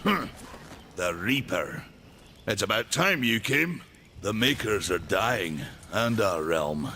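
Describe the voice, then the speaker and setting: An actor playing a weary old male warrior who guards a gate, gruff, rustic and wry, with game music behind him. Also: fairly low pitch, lively intonation, slow, background noise, exaggerated voice. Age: older.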